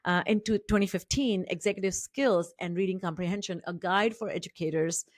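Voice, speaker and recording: A middle-aged woman from India with an Indian English accent, a native Marathi speaker, hosting an interview podcast in a measured way with many fillers and restarts. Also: lowish voice, dry recording, studio quality. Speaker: podcast host.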